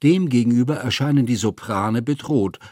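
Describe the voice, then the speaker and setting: A man of medium pitch reads scripted literary prose. His delivery is formal and matter-of-fact, and the sound is very dry, with no room around it. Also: normal speed, dark tone, dry recording. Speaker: narrator of a literary radio text.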